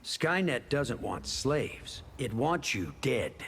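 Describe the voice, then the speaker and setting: An actor playing a ruthless killer machine in a video game, cold, clipped and declarative. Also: male voice, mid-range voice, sing-song, slow, a bit bright, big-room sound, slight background noise, exaggerated voice. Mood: cold menace. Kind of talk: video game character dialogue.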